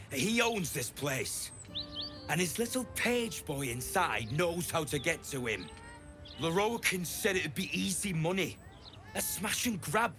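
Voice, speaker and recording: An actor playing a gruff, blunt, hot-tempered but weary mercenary in a fantasy video game. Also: male voice, mid-range voice. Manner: blunt, swearing, forceful. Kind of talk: video game character acting.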